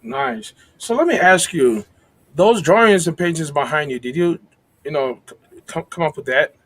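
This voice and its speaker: A male host with a slightly raspy voice leads a relaxed interview. He sounds chatty and upbeat and pokes fun at himself. He pitches his voice a touch higher than most men. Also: moderate pace. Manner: chatty, upbeat, self-deprecating. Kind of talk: casual video-call interview.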